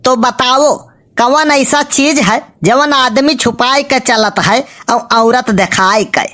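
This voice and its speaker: A woman plays a skeptical cartoon villager with a high, bright, cutting voice and an Awadhi accent. The figure is picky and argumentative, answering in dry, colloquial comic retorts. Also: slow. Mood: challenging.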